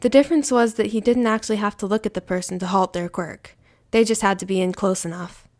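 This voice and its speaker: A woman's voice: mid-range voice, fairly even intonation, fast, darkish tone. A woman reading scripted fiction aloud as the narrator of an audio story.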